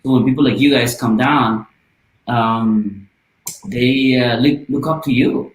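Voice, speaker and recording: A man's voice: medium pitch, unhurried. A man from Guatemala speaking as a podcast guest, earnest and passionate, with frequent "uh"s. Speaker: guest from a volunteer charity. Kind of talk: casual podcast interview. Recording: roomy, echoey sound.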